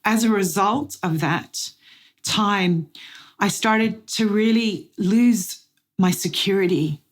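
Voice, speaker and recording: A middle-aged woman giving a testimony sermon as guest speaker, slow, deliberate and earnest, with dramatic pauses. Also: mid-range voice. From Australia.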